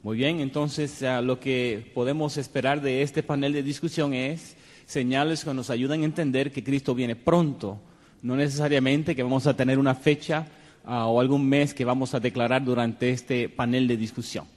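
A man's voice: medium pitch, fairly even intonation, moderate pace, darkish tone. Man moderating a religious panel. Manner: hesitant, many fillers, courteous. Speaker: program host and panel moderator. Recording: long hall reverb.

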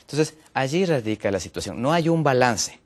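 A man from Ecuador, a natural medicine health counselor, explaining as a TV guest with many hesitant fillers and pitch rising on his points. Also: very animated, normal speed. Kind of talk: explaining on a TV talk show.

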